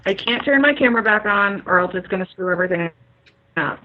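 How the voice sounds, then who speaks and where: A woman co-hosting a casual livestream over a call, talking quickly and apologetically. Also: medium pitch, expressive. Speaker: podcast co-host. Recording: dull lo-fi call audio.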